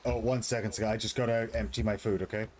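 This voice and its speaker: Easygoing low-pitched man gaming. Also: fairly even intonation, fast talker, a little mellow, a little hiss or hum. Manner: easygoing, agreeable. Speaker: streamer playing a pirate game. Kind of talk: casual gaming chat. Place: small indoor room, streaming setup.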